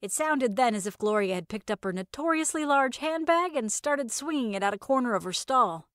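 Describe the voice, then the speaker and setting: A woman's voice: on the high side, lively intonation, brisk, a bit bright, no echo, clean studio sound. A woman reading scripted first-person fiction as a narrator.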